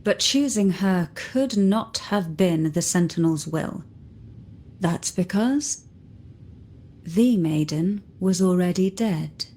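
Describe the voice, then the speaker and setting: Low, mellow, enigmatic noblewoman. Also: animated. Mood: grave, mysterious. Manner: deliberate, formal, poised. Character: enigmatic composed noblewoman, secretive and measured. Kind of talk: video game voice acting.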